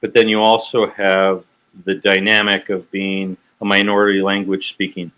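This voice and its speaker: American male podcast host, lower voice with a bright edge, rambling questions. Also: monotone, normal speed, clean tone, rough recording. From United States. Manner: talkative, asks long winding questions. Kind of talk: podcast interview.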